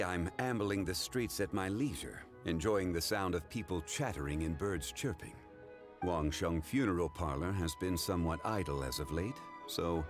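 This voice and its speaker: A man voicing a calm, erudite, ancient gentleman in a video game, speaking in a formal, measured, reflective way. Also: sits low.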